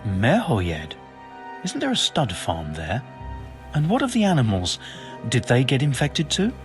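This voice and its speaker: An actor playing a learned, pompous but well-meaning and absent-minded monk physician in a video game, speaking in wordy, formal phrases. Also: male voice, mid-range voice, huge pitch swings, a little slow, soft background music. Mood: curious, intrigued. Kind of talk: video game cutscene acting.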